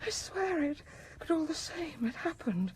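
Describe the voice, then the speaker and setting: A woman's voice: very animated, unhurried, a little mellow, a little hiss or hum, rough recording. An actress playing a faded, garrulous woman exiled from a sterile future city, rambling tearfully in a radio drama. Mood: wistful, rambling. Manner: gushing, rambling, swings from whisper to shrill cry. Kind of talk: BBC radio drama acting.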